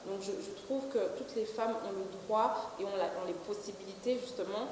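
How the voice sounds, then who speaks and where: A woman's voice: mid-range voice, moderate pace, darkish tone. A woman in her twenties, a company director, answering interview questions with many fillers and restarts. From Belgium. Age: 20s. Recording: echoey room with faint hiss.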